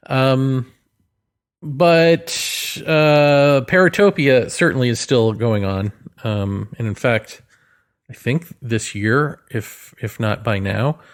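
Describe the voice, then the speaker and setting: A man hosting a solo podcast in a casual, rambling way, hesitant as he thinks aloud, with a wry, self-deprecating manner. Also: medium pitch. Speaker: podcast host and author.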